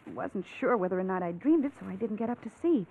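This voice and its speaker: A woman's voice: medium pitch, expressive, fast talker, dark tone, lightly acted. An actress playing a desperate, earnest young farm woman in a 1940s radio drama, pleading urgently for help. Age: young adult. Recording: narrow-band old radio, faint noise.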